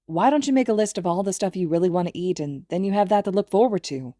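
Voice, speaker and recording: A woman voicing a cheerful, earnest teenage boy in an audiobook, with an eager and expressive delivery. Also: mid-range voice, fast, no room sound, studio quality, close to an everyday voice. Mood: eager, lecturing.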